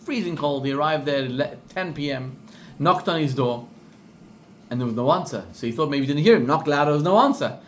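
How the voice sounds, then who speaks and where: A middle-aged rabbi with a South African accent telling a story in a sermon, acting out the people in it and repeating words for emphasis. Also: male voice, on the high side, fairly quick, slight background noise. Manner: animated storyteller, acts out quoted voices, repeats for emphasis.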